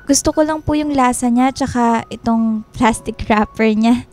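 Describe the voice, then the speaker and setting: A woman's voice: fairly high pitch, normal speed, soft background music, natural voice. An actress playing a sweet, shy young woman in a Filipino radio drama, speaking respectfully and a little hesitantly. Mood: polite. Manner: respectful, soft-hearted, hesitant.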